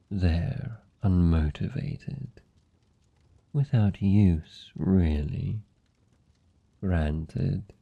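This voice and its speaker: Guy doing a british vampire lord for asmr rp, low n gravelly, super slow, condescending and seductive, bit dull sounding audio. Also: warm tone, full character voice. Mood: bored disdain, then musing. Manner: slow, deliberate, aristocratic, teasing. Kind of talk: fantasy ASMR roleplay.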